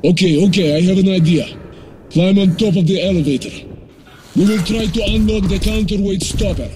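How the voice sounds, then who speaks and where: A man's voice: high-pitched, normal speed, noisy background, close to an everyday voice. A man playing an urgent, commanding soldier in a video game, giving clipped military orders. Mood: focused. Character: battle-hardened soldier squad leader, urgent and commanding. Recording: voice under very loud music.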